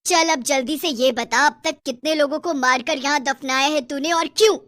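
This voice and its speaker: A woman's voice: high-pitched, moderate pace, fairly bright tone, no echo, clean studio sound. A woman voicing a gloating, bossy, selfish female witch with a taunting, cackling manner in a horror cartoon story. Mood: menacing, taunting.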